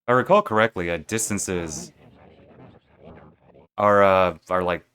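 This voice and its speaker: A man's voice: low voice, unhurried, fairly bright tone. A young man thinking aloud while playing a game, rambling and correcting himself as he goes. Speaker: streamer playing a video game. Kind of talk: casual let's play commentary.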